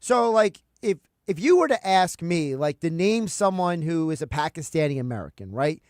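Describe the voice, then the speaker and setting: A high-pitched male host speaks in a dry, close voice. He leads the interview with long, meandering questions and a chatty, geeky streak. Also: sing-song, moderate pace, darkish tone, no room sound. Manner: chatty, rambling questions, self-described geek. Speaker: radio host. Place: home studio.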